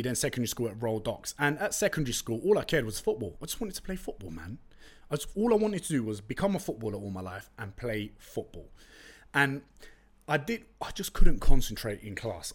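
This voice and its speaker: A young man with a London accent, whose first language is Turkish, tells stories on his own podcast. He talks in a loose, chatty way, full of slang and jokey asides, and his voice sits at an ordinary middle pitch. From East London, England. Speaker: podcast host. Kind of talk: casual solo podcast storytelling.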